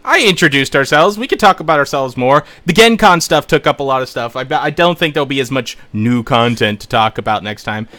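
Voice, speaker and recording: A man hosting a casual tabletop gaming podcast, relaxed and joking as he chats with his co-hosts. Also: mid-range voice, a little fast, hardly any pauses. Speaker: podcast host.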